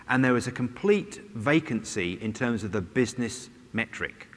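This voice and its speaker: Middle-aged male conference speaker, mid-pitch, conversational with wry humor, headset mic, echoey hall. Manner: conversational, with wry asides and rhetorical questions. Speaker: conference presenter. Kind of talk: conference keynote presentation. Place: large conference stage, indoors. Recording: long hall reverb.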